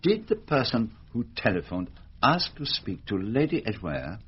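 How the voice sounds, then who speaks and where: Belgian detective in a radio play, french-speaking accent, polite and precise, really lilting delivery, bit husky. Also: male voice, lowish voice, sing-song, exaggerated voice. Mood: polite, probing. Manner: polite, precise, measured questioning. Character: courteous, probing, sharp-minded Belgian male private detective. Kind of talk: radio drama acting. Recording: dull, lo-fi radio drama recording.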